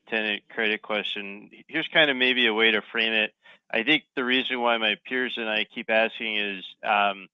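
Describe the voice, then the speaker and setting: A man's voice: medium pitch, smooth, dry recording. A man asking questions conversationally and off the cuff as a financial analyst on a conference call. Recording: slightly dull, dry call audio.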